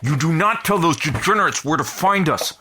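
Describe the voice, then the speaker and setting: A man playing an excitable, grumbling, wry co-host in a comedy radio show. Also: fairly high pitch, fairly quick, a little hiss or hum. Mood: grumbling, agitated.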